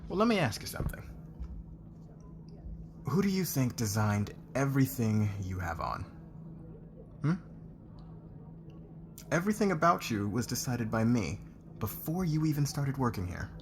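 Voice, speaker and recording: A man's voice: medium pitch, very animated, noisy background. A man playing an arrogant, demanding company boss who talks down to people in an ASMR roleplay. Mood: scornful, taunting. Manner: condescending, commanding, deliberate.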